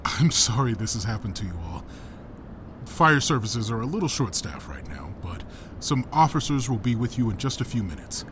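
A man's voice: mid-range voice, big pitch swings, normal speed, fairly bright tone, a little hiss or hum. A man playing a confident, easygoing rescuer in an audio drama, speaking in a casual, reassuring way.

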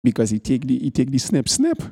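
A man hosts a casual podcast, rattling along quickly in a mellow, dark voice. He keeps his voice low and doesn't project, tossing out profane banter with his friends. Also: huge pitch swings, creaky, slightly rough. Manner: talks low and does not project. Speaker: podcast host.

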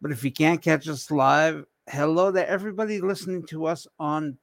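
A man hosting a casual adult podcast chat, talking slowly and haltingly, often repeating words. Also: fairly high pitch, steady tone, creaky, dry recording. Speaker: host.

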